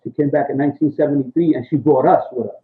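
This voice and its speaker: A man's voice: mid-range voice, fairly even intonation, very fast, narrow, tinny audio. A man in his sixties from Puerto Rico, telling his family history in a loose, rambling way, full of street slang and "you know what I'm saying.". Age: 60s. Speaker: interviewee, rapper. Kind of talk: casual oral history interview.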